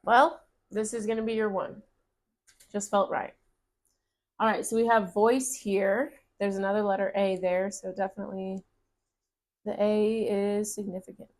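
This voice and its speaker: Gentle encouraging woman reading tarot. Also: mid-range voice, steady tone, moderate pace. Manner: gentle, encouraging, reads card text aloud. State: voice getting raspy. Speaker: tarot reader. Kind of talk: casual tarot reading to camera.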